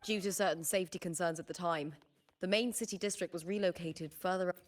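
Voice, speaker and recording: A woman's voice: fairly low pitch, brisk, a little hiss or hum, close to an everyday voice. A woman playing a confident, composed female warrior who guides others in a video game. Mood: matter-of-fact. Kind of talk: scripted video game dialogue.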